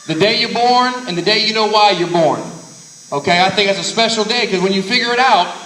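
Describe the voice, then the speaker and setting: Energetic man in his thirties, high and bright, preaching to kids in a large echoey hall. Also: fairly quick, distant mic, a little hiss or hum. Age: 30s. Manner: energetic, repetitive, preacherly emphasis. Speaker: guest speaker. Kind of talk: motivational speech to kids. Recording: echoey hall recorded from afar.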